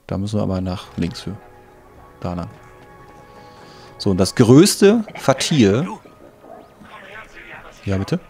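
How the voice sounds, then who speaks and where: A man's voice: lowish voice, sing-song, slow pace, pauses often, darkish tone, slightly rough, soft background music. A man giving casual gameplay commentary, thinking aloud in an offhand, colloquial way. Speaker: let's play host.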